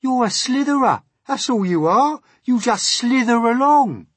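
Loud, high-pitched boastful centipede. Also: male voice, lively intonation, a little slow, husky, no room sound, full character voice. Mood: scornful, boastful. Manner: proud, exclaiming, teasing. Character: boastful, cheeky male centipede, loud and brash.

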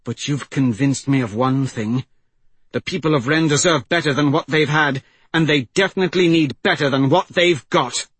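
A man's voice: a little high, moderate pace, fairly bright tone, slightly rough, dry recording, voice pushed up. A man voicing a fierce, forthright woman in an audiobook, speaking urgently and emphatically. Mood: indignant. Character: fierce, indignant woman leading a rescue.

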